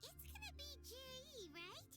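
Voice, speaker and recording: A woman voicing a tiny, excitable fairy companion girl in a video game, with a squeaky childlike voice. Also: a little slow, faint music behind, full character voice. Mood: curious. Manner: speaks of self in third person.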